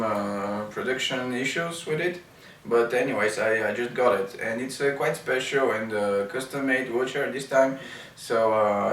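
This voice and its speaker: Halting man speaking in an echoey room. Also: medium pitch. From Bulgaria. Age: 30s. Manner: many ums and uhs, unhurried and halting. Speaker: vlogger reviewing his wheelchair. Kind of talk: casual first-impressions review. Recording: echoey room, mic at a distance, faint hiss.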